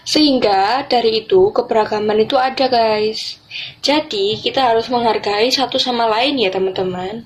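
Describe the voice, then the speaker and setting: Indonesian teen girl explaining stuff on a student podcast, highish voice, some background noise. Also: rough recording. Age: teenager.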